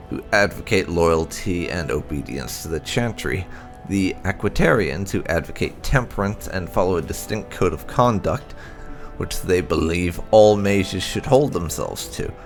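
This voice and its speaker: A man reading game lore aloud in a measured, formal narration style. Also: fairly low pitch, creaky. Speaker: gaming channel host reading lore entries. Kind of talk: read-aloud narration of fantasy game codex.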